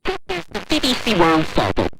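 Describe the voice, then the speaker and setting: Low animated woman reading a dramatic radio promo over loud music. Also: normal speed, gravelly, rough recording. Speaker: radio announcer. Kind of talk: scripted programme trail.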